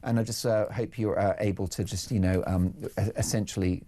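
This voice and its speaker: A man's voice: on the low side, steady tone, a little fast, mellow. A man hosting a talk radio phone-in, taking calls and putting leading questions to callers. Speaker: radio host.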